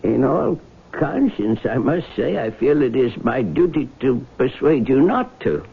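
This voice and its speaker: An actor playing a grave, blunt old family lawyer with a formal, measured manner in a 1970s radio drama. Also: male voice, medium pitch, sing-song, gravelly, breathy edge, heavily acted. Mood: solemn, blunt. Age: older. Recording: narrow phone-like old radio broadcast.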